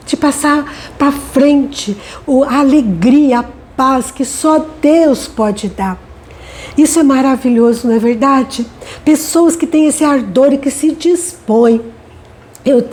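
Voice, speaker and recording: A middle-aged woman from Brazil giving a gentle devotional talk, unhurried and often adding tag questions. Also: sits high. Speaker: devotional speaker.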